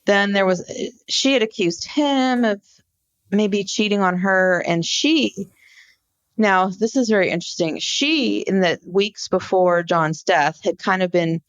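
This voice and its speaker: A woman co-hosting a podcast, explaining a case conversationally in an informal, halting storytelling way. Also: mid-range voice, dry recording, muffled recording. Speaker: podcast co-host. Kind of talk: conversational true-crime case discussion.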